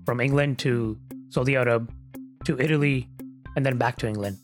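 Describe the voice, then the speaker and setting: Medium-pitched man hosting a casual podcast. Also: fairly even intonation, normal speed, faint music behind. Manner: informal, switches between English and Urdu. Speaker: podcast host. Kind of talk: casual chat interview.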